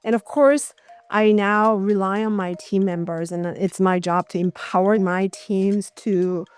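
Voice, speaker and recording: A woman's voice: medium pitch, steady tone, measured pace, darkish tone, smooth. A middle-aged woman giving candid, reflective answers in a conversational interview as a corporate executive guest.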